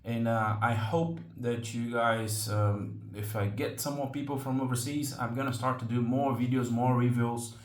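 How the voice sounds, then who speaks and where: A man from Brazil, a native Portuguese speaker, hosting a slingshot channel and explaining a tool in English in a casual, halting way with many ums and restarts. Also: mid-range voice, fairly even intonation, roomy sound.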